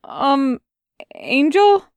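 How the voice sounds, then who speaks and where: A woman's voice: high voice, huge pitch swings, drawn-out words, a little mellow, no room sound, voice pushed up, full character voice. A woman reading a fan audiobook, voicing a flustered, bewildered male demon who stammers and babbles. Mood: thrown.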